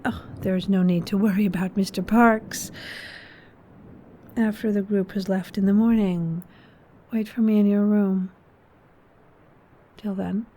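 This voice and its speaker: An actress playing a seductive, scheming married hostess, coaxing and deliberate, in a horror audio drama. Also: female voice, medium pitch, steady tone, warm tone, close to an everyday voice. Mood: coolly seductive.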